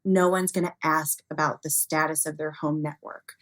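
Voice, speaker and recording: Low-pitched woman explaining as an expert guest. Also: little pitch movement, normal speed, fairly bright tone. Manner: explains with everyday examples and hypotheticals. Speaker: interview guest, expert on human error in cybersecurity. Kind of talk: conversational expert explanation in an interview.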